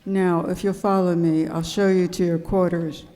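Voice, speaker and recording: A woman plays a formal, cagey captain of a mysterious ship in a comic radio skit. Her voice is on the low side, in a reverberant hall with faint noise. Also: little pitch movement, normal speed, mellow, natural voice. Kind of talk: amateur live radio skit acting. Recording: echoey hall, noisy live recording.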